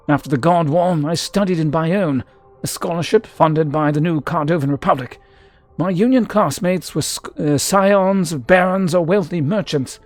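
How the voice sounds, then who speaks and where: A man's voice: on the high side, voice pushed up, close to an everyday voice. A man voicing a proud, theatrical mercenary archaeologist from a fantasy game, telling his past in florid, formal speech. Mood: wistful, proud. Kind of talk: character voice in a fantasy game read-through.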